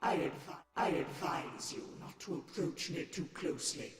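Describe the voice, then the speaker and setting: An actor voicing Death in a video game, a menacing reaper who speaks in slow, imperious, scornful threats. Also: mid-range voice, lively intonation, reverberant, close to an everyday voice. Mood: cold scornful menace. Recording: loud game music over the voice.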